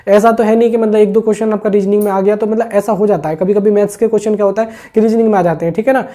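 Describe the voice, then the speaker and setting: Male online exam-update host, rapid pace, mellow tone, informal explainer, repetitive phrasing, frequent check-in tags. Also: sits high, steady tone.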